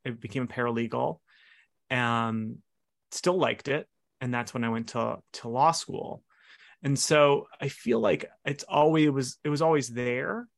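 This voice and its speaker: A middle-aged man from Hawaii, a lawyer guest answering interview questions in a relaxed way, with many fillers and restarts. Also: mid-range voice, gravelly, dry recording.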